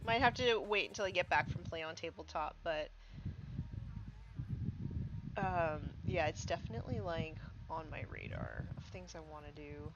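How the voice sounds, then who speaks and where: A woman's voice: low-pitched, moderate pace, dull audio, noisy background. A woman streaming herself painting miniatures, chatting casually with lots of fillers and a congested voice. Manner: rambling, many fillers like "like" and "um". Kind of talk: casual chat while painting. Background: music.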